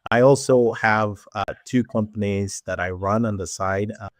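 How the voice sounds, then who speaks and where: A man in his forties with a Nigerian accent, telling his life story as a podcast guest in a measured, reflective way. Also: fairly low pitch, dry recording, clean studio sound. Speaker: podcast guest, tech executive. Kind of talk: casual interview talk.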